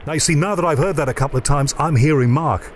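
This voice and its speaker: A man's voice: fairly high pitch, quick pace, very noisy. Enthusiastic male radio host, curious. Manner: inquisitive, enthusiastic, thinks aloud. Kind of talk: curious interview chat.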